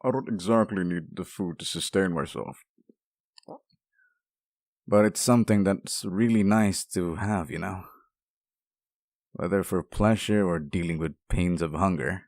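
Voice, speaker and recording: A man's voice: sits low, a little slow, long pauses, a little mellow, dry recording, clean studio sound. A man playing a sweet, clingy, centuries-old sealed demon in an intimate ASMR roleplay, speaking tenderly and hesitantly to one listener. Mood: grateful.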